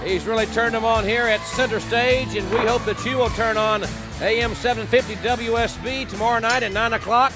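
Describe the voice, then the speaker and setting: An American man commentates on wrestling in a very high, emphatic announcer's voice. The old TV broadcast has music and a clearly audible crowd behind him. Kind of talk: live play-by-play sports commentary. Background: music and arena crowd.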